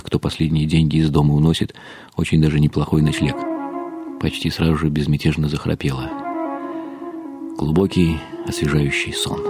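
An actor playing a weary, bitter older husband, narrating a radio drama in a brooding, ironic way with drops into whispers. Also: male voice, a bass voice. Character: weary, bitter husband of an alcoholic, wryly despairing. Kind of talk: dramatized first-person literary narration for radio. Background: faint music.

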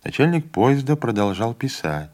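A man reading a literary audiobook in a measured, even storytelling manner. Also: mid-range voice. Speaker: audiobook reader. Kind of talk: literary narration.

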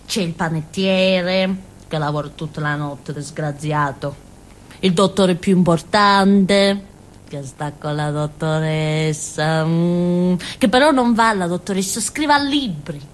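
An actress playing a naive, simple-minded village woman in a theatre monologue, with a southern Italian accent and a childlike, halting, colloquial way of telling things. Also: fairly low pitch. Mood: chatty, simple. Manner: childlike, halting, giggly, muttering little asides. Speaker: stage actress.

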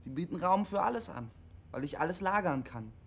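A man's voice: high voice, expressive, brisk, creaky, husky, full character voice. An actor playing a withdrawn, literal-minded CB radio operator in his twenties, matter-of-fact and pedantic, in a naturalistic radio drama. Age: 20s.

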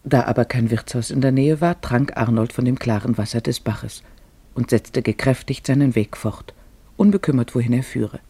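Mellow, husky male storyteller. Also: medium pitch, normal speed, dry recording. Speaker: narrator of a radio play. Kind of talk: scripted literary storytelling in German radio drama.

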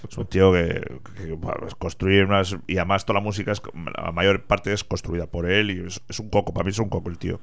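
Low gravelly man hosting a radio show. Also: flat delivery, fast, few pauses. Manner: enthusiastic, talks in loose rambling phrases. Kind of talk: casual radio chat about metal bands.

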